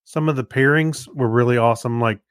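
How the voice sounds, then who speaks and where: A man co-hosting a podcast, chatting casually about shows in a halting way, often searching for words. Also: mid-range voice, clean tone, dry recording, studio quality. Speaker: podcast co-host.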